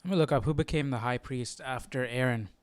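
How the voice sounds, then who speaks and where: Male study host: clear tone, dry sound, halting informal musing. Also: medium pitch, no room sound, faint music behind. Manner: halting, musing, often tags remarks with "right?". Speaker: host of a Bible study livestream. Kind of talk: informal thinking-aloud commentary.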